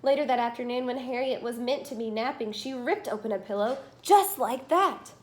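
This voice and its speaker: High-voiced woman reading a storybook to children. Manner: expressive, emphatic storytelling with drawn-out phrases. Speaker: teacher reading a picture book aloud. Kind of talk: storybook read-aloud for children.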